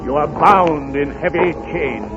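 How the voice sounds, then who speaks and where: Guy doing a mean old miser boss, highish voice, sneering and curt, old radio drama recording. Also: measured pace, lo-fi sound, over music, close to an everyday voice. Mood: fearful questioning. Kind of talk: 1930s radio acting.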